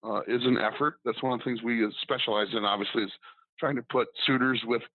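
A man's voice: medium pitch, fairly even intonation, fast, no room sound. A man who is a guest on a business podcast, rambling casually with lots of ums and restarts. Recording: dry tinny phone-like line.

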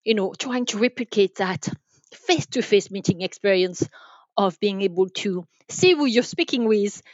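A woman's voice: mid-range voice, animated, normal speed, no room sound, muffled recording. A woman from France with a French accent, giving conversational answers as a podcast interview guest. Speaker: podcast guest, telecom sales manager.

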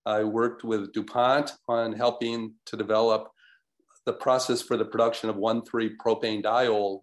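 An older man introducing himself as a guest expert on a webinar, speaking in a measured way with frequent ums and restarts. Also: mid-range voice.

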